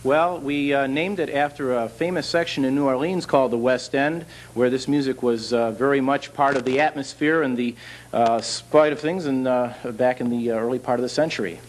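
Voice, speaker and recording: A man's voice: medium pitch, fairly even intonation, moderate pace, a little hiss or hum, poor audio. A man, a bandleader, answering interview questions in a casual, slightly hesitant, explanatory way. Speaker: jazz bandleader, interview guest.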